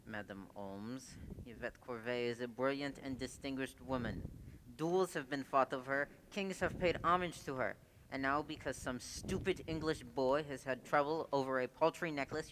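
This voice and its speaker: An actor plays a proud police inspector in a radio play, with a medium-pitched voice. He is formal and smoothly condescending, over clear background noise. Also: natural voice. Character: suave, proud French male police inspector. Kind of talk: stage-style radio play acting. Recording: noisy recording with clicks.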